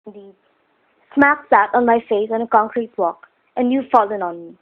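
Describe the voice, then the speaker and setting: On a thin vintage radio recording, a woman plays a plucky wife and aunt. She is brisk and indignant, with a no-nonsense delivery typical of old crime drama. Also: fairly high pitch, animated, narrow, tinny audio, natural voice. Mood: shaken, indignant.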